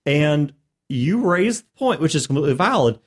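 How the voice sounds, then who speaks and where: A man talks as a podcast host and game master, casually recounting a tabletop session. His delivery is animated and emphatic, with pitch that moves around a lot as he builds the story. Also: normal speed, slightly rough.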